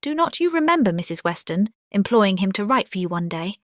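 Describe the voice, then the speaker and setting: A woman playing a confident, witty young gentlewoman in an audiobook drama, with a British accent and an expressive, self-assured delivery. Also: medium pitch, a little fast, no echo, clean studio sound, close to an everyday voice. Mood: bright, insistent. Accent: British English. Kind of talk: dramatized classic novel audiobook.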